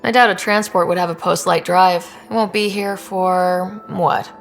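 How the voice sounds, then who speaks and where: A woman playing a steady, wry young space explorer who leads a crew in a video game, in an understated, naturalistic way. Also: lowish voice, unhurried, creaky, soft background music.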